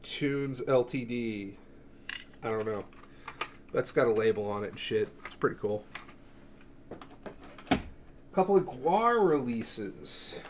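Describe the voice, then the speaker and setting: A man with a medium-pitched voice talks casually through a show-and-tell of his collection. He rambles in an offhand way, cuts himself off and curses freely. There is some noise in the recording. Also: animated, takes it slowly, pauses often. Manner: offhand, profane, self-interrupting. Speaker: music collector presenting a cassette collection.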